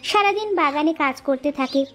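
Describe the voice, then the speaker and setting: A woman's voice: sits high, moderate pace, a bit bright, faint music behind. A woman narrating a scripted cartoon story as a storyteller.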